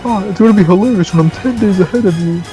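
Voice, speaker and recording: A man voicing a cocky young male rival in a high, taunting voice. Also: quick pace, over music, close to an everyday voice. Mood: gloating. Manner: taunting, smug.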